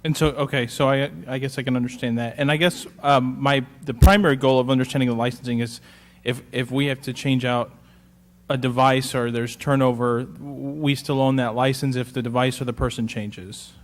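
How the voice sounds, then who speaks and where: Male council member, brisk questions, hall reverb. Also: mid-range voice, fairly even intonation. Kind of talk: questioning a vendor. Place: city council chamber.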